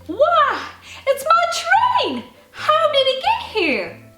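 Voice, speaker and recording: Woman voicing an eager, high-pitched little boy. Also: huge pitch swings, very slow, heavily acted. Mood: joyful surprise. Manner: earnest, exclamatory childlike talk. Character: eager, earnest young boy longing for a toy train. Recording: roomy sound with faint music behind.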